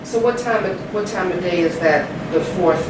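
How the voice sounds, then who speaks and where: A man's voice: sits high, normal speed, very noisy. A man in the audience asking hesitant questions, thinking aloud as he follows along. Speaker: audience member. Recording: echoey room, distant mic.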